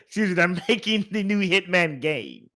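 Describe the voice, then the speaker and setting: A man's voice: sits high, animated, normal speed, pushed and bright. A man hosting a podcast in a casual, conversational way. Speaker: podcast host.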